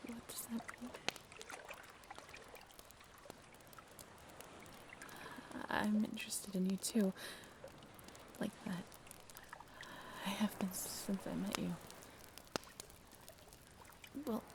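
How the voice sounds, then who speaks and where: Girl voicing a soft shy mermaid lady, kinda hesitant n whispery, bit of vocal fry, audio roleplay, slight hiss. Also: mid-range voice. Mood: flustered, shy. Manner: hesitant, soft-spoken, often dropping to breathy whispers. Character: shy, earnest half-mermaid woman confessing to old roommate. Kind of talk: intimate audio roleplay acting.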